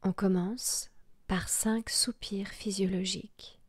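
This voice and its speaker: A woman guiding a relaxation meditation in a soft, breathy, half-whispered voice, hushed and soothing, with long pauses. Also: medium pitch, fairly even intonation, dry recording. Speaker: yoga nidra guide.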